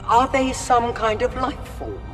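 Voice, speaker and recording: An actor playing a stern, impatient soldier leader in a sci-fi audio drama, commanding and curt, in a reverberant tunnel. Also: male voice, very high voice, big pitch swings, a little slow, fairly bright tone, soft background music, heavily acted. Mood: hushed, puzzled.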